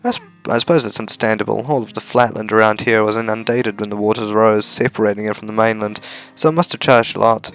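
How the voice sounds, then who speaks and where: A man's voice: on the low side, fairly even intonation, fast, hardly any pauses, fairly bright tone, clear, resonant tone. A man reading a visual novel aloud as narration for a gaming video. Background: faint music.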